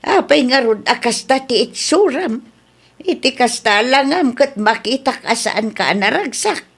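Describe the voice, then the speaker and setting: Blunt mother, female actor, husky and fairly high, scolding, radio drama. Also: lively intonation, a little slow, a bit bright, heavily acted. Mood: concerned. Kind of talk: Ilocano radio drama acting.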